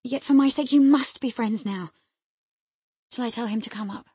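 An excitable young lady of the gentry, acted out by a woman reader, impulsive and imperious. Her voice is lifted high and pushed loud, with a slightly husky edge, as in a dramatised classic novel. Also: normal speed, dry recording. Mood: eager, playful. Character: excitable, wilful young gentry wife, breathlessly joyful. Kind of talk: audiobook character acting.

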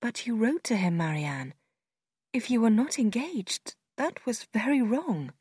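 A woman voicing a sensible, kind and restrained young lady in an audiobook, reasoning gently and earnestly. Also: a little high, very animated, measured pace, breathy edge, dry recording, clean studio sound, heavily acted. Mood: questioning, reproving. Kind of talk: audiobook character acting in a simplified classic novel.

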